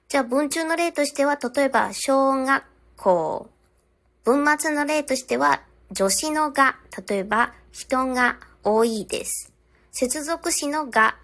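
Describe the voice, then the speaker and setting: Female language instructor, notably high-pitched, polite and instructional, speaking directly to learners. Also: vocal fry, fairly bright tone. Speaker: Japanese teacher. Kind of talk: scripted language lesson to camera.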